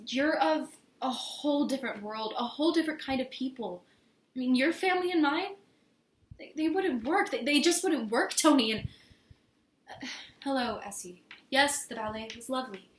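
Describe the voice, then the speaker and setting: A woman performing a stage monologue as an earnest, flustered young woman in love, speaking haltingly with stammers. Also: fairly high pitch, lightly acted. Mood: hesitant, regretful. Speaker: amateur actor performing a monologue. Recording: echoey room with faint noise.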